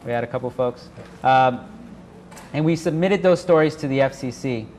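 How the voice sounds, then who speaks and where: A man presents informally to a workshop in a live room. His pitch is average for a man, and clear background noise sits behind his talk. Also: normal speed, a little mellow. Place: indoor workshop room with an audience. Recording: noisy live room recording.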